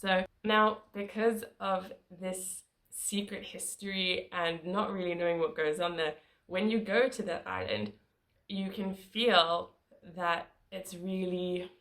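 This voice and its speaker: A woman's voice: medium pitch, a bit bright. A woman narrating her travel vlog in a casual, chatty way, with small laughs and fillers. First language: not Croatian. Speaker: travel vlogger.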